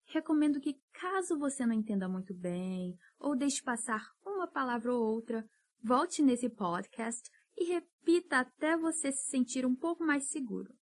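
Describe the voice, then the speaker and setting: Woman teacher, encouraging, slow drills, lively pitch. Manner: patient, encouraging, drills words slowly. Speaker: English teacher. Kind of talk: podcast pronunciation lesson.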